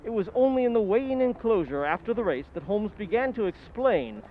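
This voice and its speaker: A man playing a detective's loyal, earnest companion, telling the story in an old-time radio drama style, measured and slightly puzzled. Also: high voice, big pitch swings, slight background noise. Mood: narrating, earnest. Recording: dull lo-fi sound, music underneath.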